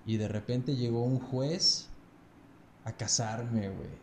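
A Mexican man in his thirties talks in a relaxed, slangy, profane way, landing "güey" at the end of his phrases. His voice is dark and mellow. The room is echoey, with a faint hiss underneath. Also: mid-range voice, slow pace. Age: 30s. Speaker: podcast guest. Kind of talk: casual storytelling chat.

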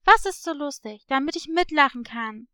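A woman plays a headstrong little animal girl from a children's story. She is brusque and determined, with a high pitch and a dry sound. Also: moderate pace, fairly bright tone, no echo.

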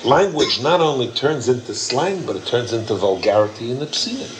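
A man's voice: medium pitch, big pitch swings, fairly quick, background noise. A man leading an informal question-and-answer session, emphatic and repetitive as he explains. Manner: warm, emphatic, repeats himself. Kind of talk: informal Q&A lecture.

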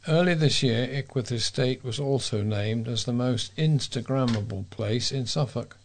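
A man reading scripted local news as a volunteer newsreader, in a measured way. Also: mid-range voice, a little hiss or hum.

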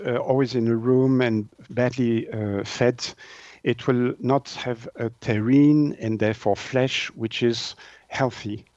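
A man's voice: mid-range voice, darkish tone, no room sound, highs cut off. A man from Switzerland, a native French speaker with a Swiss French accent, explaining things as an interview guest and often searching for words.

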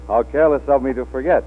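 An actor playing a hard-drinking, flirtatious male film star in a 1940s radio drama, halting and repeating his words. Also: medium pitch, close to an everyday voice. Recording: old 1940s radio, tinny with hum.

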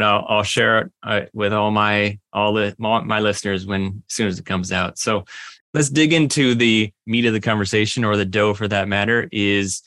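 A man hosting a podcast interview, friendly and joking in a relaxed way. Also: on the low side, dry recording. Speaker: podcast host.

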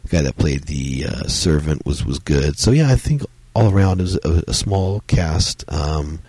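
A man's voice: lively intonation, normal speed, mellow, no echo. A man hosting a solo film review podcast, talking loosely with lots of fillers, his voice strained by a cold. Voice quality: very deep, gravelly. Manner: rambling, many fillers and restarts. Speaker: podcast host reviewing films. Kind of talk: solo casual review talk.

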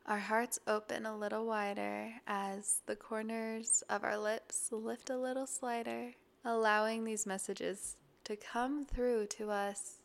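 A slightly congested woman podcast host speaking a guided meditation in a gentle, soothing, breathy voice that often drops toward a whisper. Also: medium pitch. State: a little congested. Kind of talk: guided meditation, then casual podcast talk.

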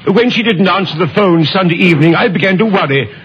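A man playing a distraught, flustered brother in a 1950s radio drama, speaking in a halting, agitated way. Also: high-pitched, lively intonation. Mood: shaken. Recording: dull old radio recording, faint noise.

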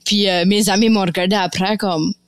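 Young woman w/ acadian accent, chatting thoughtfully, smooth clear voice, dry sound. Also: mid-range voice, steady tone, normal speed, no echo. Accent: Acadian French of Baie Sainte-Marie. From Acadian Nova Scotia. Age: young adult. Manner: reflective, many fillers and restarts. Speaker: podcast guest. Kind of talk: casual conversation.

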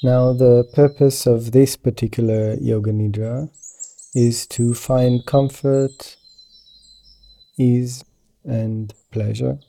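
Slow, very dark-voiced man guiding meditation. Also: medium pitch, steady tone, vocal fry. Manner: gentle, soothing, unhurried. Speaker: meditation teacher guiding yoga nidra.

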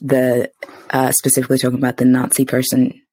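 A woman's voice: a bass voice, monotone, a little fast, dark tone, dry recording. A young adult woman hosting a podcast, chatting casually and expressively with frequent laughs and fillers. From immigrant to New Zealand. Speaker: podcast host. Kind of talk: casual chat.

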